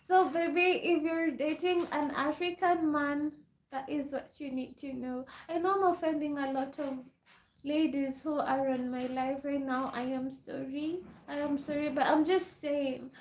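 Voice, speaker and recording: A young woman, chatting casually on a livestream, reading and answering viewer comments and laughing often. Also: high-pitched. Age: 20s. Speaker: livestream host. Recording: echoey room.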